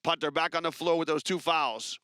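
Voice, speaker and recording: Guy doing basketball play-by-play, bright crisp voice, dead dry sound. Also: a little high, dry recording. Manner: brisk, chatty, rises with excitement on big plays. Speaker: play-by-play commentator. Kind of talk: live basketball commentary.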